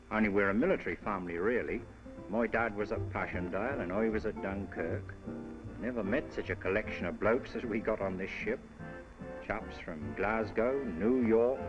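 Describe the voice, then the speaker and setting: A man's voice: on the low side, lightly acted. A man playing a chatty British soldier with an English accent, colloquial and cheerful, in a 1940s war film. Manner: colloquial, chummy. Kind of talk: 1940s wartime film narration in character. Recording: dull vintage film soundtrack, loud music.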